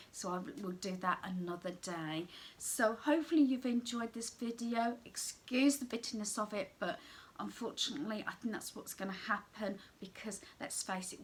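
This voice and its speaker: An older woman vlogging in a rambling, casual chat with many pauses and fillers, her voice going hoarse. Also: medium pitch, a bit bright, a little hiss or hum. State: losing her voice.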